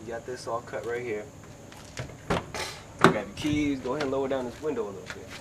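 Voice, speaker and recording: A man getting over a cold, sniffling and clearing his throat as he explains a how-to job outdoors in a laid-back, chatty way. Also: medium pitch, fairly quick. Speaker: DIY video maker. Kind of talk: casual how-to tutorial. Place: outdoors beside a car in sunshine. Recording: outdoor ambient noise.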